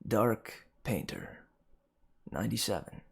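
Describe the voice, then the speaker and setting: A man reading a dark second-person story aloud as scripted narration, with dramatic emphasis that swells and drops. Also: on the low side, sing-song, slow, vocal fry, breathy edge. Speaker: amateur story reader.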